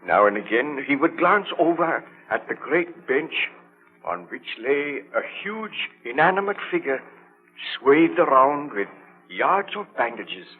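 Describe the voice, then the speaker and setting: An actor playing an exhausted, obsessed baron, with solemn, formal diction in 1930s radio drama style. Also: male voice, mid-range voice, big pitch swings, measured pace, narrow, tinny audio. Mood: brooding. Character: exhausted, obsessed baron haunted by his monster. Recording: scratchy, thin 1930s radio broadcast recording.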